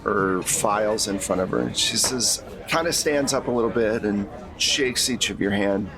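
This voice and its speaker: A man's voice: on the low side, moderate pace, vocal fry, crowd noise. A man running a tabletop game as game master, moving between casual table talk and narration.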